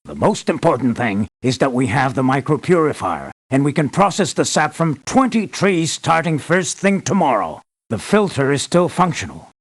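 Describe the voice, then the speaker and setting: An actor playing an earnest, measured male leader giving formal, deliberate briefings in a scripted video game. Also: on the high side, sing-song. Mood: urgent, concerned. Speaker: mission briefer.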